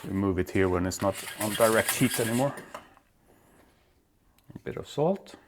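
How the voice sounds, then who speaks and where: A man from Belgium hosting his own cooking show, walking through a recipe in a relaxed, step-by-step way in a home kitchen. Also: on the low side, normal speed, noisy background. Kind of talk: casual cooking demonstration.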